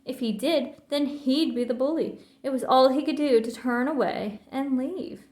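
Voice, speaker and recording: A woman reading a children's story aloud in an expressive, theatrical way. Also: sits high, normal speed. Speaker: storybook reader. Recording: roomy, echoing sound.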